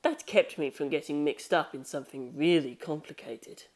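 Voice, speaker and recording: A woman voicing a brisk, self-assured male manga artist in an audiobook, speaking in a matter-of-fact, articulate way. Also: low-pitched, a little mellow.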